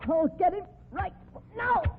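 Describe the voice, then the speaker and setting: A woman's voice: very high-pitched, measured pace. A woman playing a plucky young cowgirl with an American accent and folksy, emotive talk, in old-time radio drama style. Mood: urgent, alarmed. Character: plucky, devoted young cowgirl with rough country grammar. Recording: noisy, tinny old radio recording.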